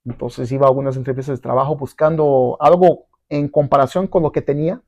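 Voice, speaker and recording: Middle-aged guy, spanish's not his first language, gravelly dark voice, lots of fillers, dry room. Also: mid-range voice, fairly even intonation, brisk, no echo, dull audio. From abroad, not Mexico. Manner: rambling, many fillers and tag questions. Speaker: guest entrepreneur. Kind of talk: casual interview storytelling.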